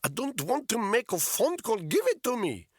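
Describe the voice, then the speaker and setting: A man voicing a desperate male Russian captive who pleads and shouts in pain, with a thick Russian accent. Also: very high-pitched, huge pitch swings, moderate pace, no echo, pitched above the performer's own voice, full character voice. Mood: shouting.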